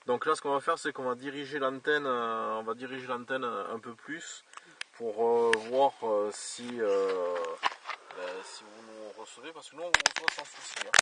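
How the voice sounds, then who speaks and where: A man's voice: mid-range voice, normal speed. A man from southern France working as a radio operator, chatting casually with procedural call-and-reply phrasing. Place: outdoors by a roadside. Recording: distant, noisy two-way radio chatter.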